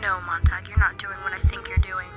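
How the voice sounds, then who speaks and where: A woman's voice: medium pitch, flat delivery, fairly quick, lightly acted. A woman playing an urgent, scolding inner voice that cautions a troubled man with insistent warnings in a student drama. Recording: voice over loud music bed.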